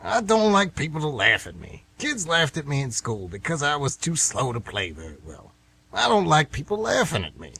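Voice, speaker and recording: Man acting a husky, grumbling older baseball diehard. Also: a little high, huge pitch swings, normal speed, bright, forceful tone, full character voice. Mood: reluctant, wistful. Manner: folksy, defensive, exclamatory. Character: stubborn, grumbling retired man of fifty-six, aggrieved baseball fan. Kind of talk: amateur radio theatre acting.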